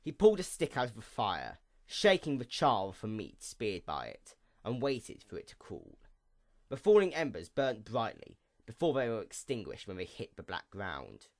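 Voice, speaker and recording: A man reading a fantasy story as a narrator, deliberate and dramatic, with pauses for effect. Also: fairly low pitch, huge pitch swings, a little mellow.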